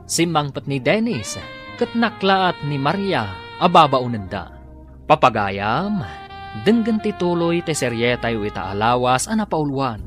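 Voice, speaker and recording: Male radio drama narrator-announcer, mid-pitched, animated, music underneath.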